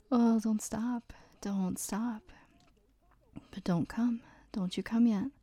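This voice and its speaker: A young adult woman whispering a slow, teasing, commanding audio roleplay close to the mic, with soft laughs between lines. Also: fairly high pitch, no echo. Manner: mostly whispered.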